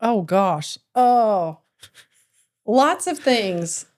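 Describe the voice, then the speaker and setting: A woman with an American accent and an average-pitched voice. She is a podcast guest, chatting casually and informally with the host. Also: lively intonation, drawn-out words, a little mellow. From Missouri, United States. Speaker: podcast guest, fitness business owner. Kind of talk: casual chat.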